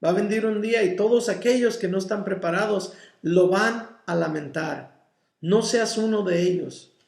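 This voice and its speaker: A male pastor, a native English speaker, leads a Bible study in a high, gravelly voice. He teaches slowly and deliberately, pausing to check in with his listeners. The sound rings in an echoey church room. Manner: mixes in English words.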